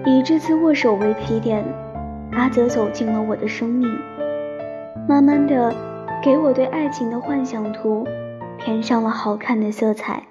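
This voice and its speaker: A woman playing a young, romantic, dreamy student in a radio drama, telling her love story in a soft, sentimental, unhurried way. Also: fairly high pitch, natural voice. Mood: tender, wistful. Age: young adult. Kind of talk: radio drama narration and dialogue. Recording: dull lo-fi recording with piano music. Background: piano music.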